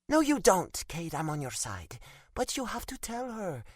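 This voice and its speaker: A man's voice: high voice, huge pitch swings, moderate pace, no room sound, studio quality, voice pushed up, exaggerated voice. A male audiobook narrator voicing a feisty, blunt young woman who scolds and teases like a little sister. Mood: earnest. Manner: scolding, teasing, frank.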